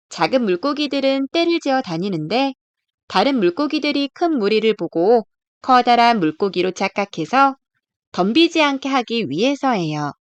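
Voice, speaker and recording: A woman's voice: a little high, dry recording. A woman narrating a scripted children's nature book in a careful, explanatory read-aloud way. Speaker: storybook narrator.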